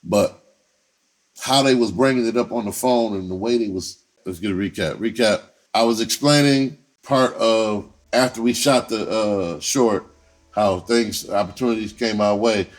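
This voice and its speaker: A man's voice: on the low side, normal speed. A man telling a personal story in casual, profane slang, repeating himself and acting out conversations as he goes. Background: music under the voice.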